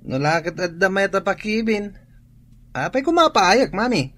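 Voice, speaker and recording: A woman's voice: mid-range voice, big pitch swings, slow pace, a bit bright, exaggerated voice. A woman playing a flippant, carefree young student son in a radio drama, with a northern Philippine accent. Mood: dismissive.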